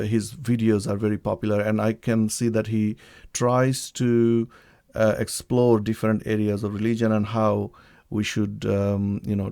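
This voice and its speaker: A man from Bangladesh hosting a live-streamed talk, speaking in a hesitant way with many pauses and restarts. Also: lowish voice, vocal fry, mellow. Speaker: livestream host. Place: home setup, living in the UK.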